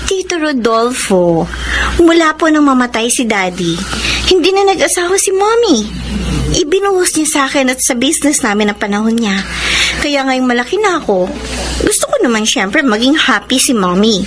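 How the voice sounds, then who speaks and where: A woman's voice: sits high, big pitch swings, moderate pace. A woman with a Filipino accent acting a trusting, devoted young daughter in a radio drama. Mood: earnest, reassuring. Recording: clearly noisy radio drama track.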